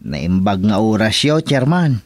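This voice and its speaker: A man's voice: lowish voice, big pitch swings, unhurried, no room sound, clean studio sound, soft background music. A man playing a sheepish village councilor, hesitant and apologetic, in a rural radio comedy-drama. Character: sheepish village councilor making excuses to his superior.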